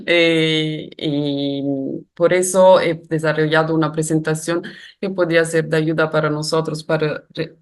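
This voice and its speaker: This is a woman with an Italian accent delivering a philosophical webinar talk in a language that is not her first. Her voice sits fairly low and she moves very slowly. She weighs each phrase, often hesitating and restarting as she searches for the word. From Italy. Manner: slow, careful, reflective, with hesitations. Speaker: guest lecturer. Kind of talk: webinar lecture.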